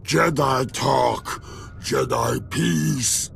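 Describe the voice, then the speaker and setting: An actor playing a brutish, impatient alien raider leader in a video game, barking broken few-word commands in a gravelly voice. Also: male voice, mid-range voice, extremely slow, a bit bright, slight background noise, heavily acted. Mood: demanding. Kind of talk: video game creature acting.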